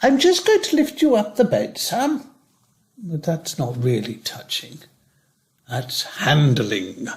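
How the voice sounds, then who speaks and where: A man performs a lonely retired schoolteacher of nearly eighty, living in a care home. He speaks slowly and reflectively, with measured pauses and a fairly high pitch for a man. His delivery of this stage monologue is wry and rises and falls expressively. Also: big pitch swings. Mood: mimicking others. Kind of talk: dramatic monologue reading.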